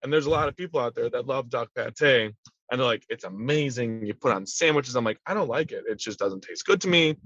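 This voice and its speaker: Animated young man on dull dry audio. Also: medium pitch, brisk, dry recording. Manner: animated, acts out voices in quoted asides. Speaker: middle school teacher, guest. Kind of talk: casual conversational interview.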